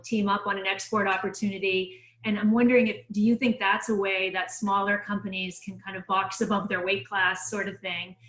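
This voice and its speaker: A woman moderating a webinar over a video call, asking long questions with halting restarts. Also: medium pitch, a bit bright. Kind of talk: moderating a panel. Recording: echoey room.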